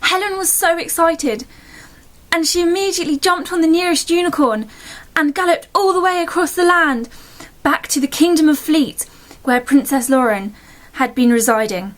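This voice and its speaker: A woman's voice: high voice, normal speed. A woman narrating a bedtime story in a playful, mock-solemn storybook manner.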